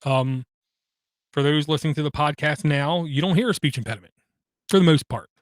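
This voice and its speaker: A man hosting a podcast, talking through personal matters in a halting way, with many pauses and fillers and an occasional stutter. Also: on the high side, no echo, studio quality. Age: adult, has kids. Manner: halting, frequent ums and uhs, slight stutter. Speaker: podcast host. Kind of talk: personal monologue.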